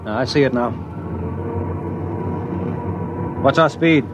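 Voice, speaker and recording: An actor playing a gruff, cocky space station driver and narrator, brusque and sardonic, in a 1950s radio drama. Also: male voice, mid-range voice, fast, background noise, natural voice. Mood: cocky, urgent. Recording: muffled 1950s radio recording.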